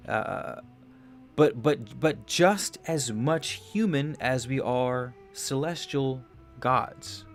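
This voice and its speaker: A young man hosting a livestream, rambling casually about spiritual ideas, restarting phrases, swearing loosely and getting animated on big points. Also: mid-range voice, drawn-out words, faint music behind. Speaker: podcast host.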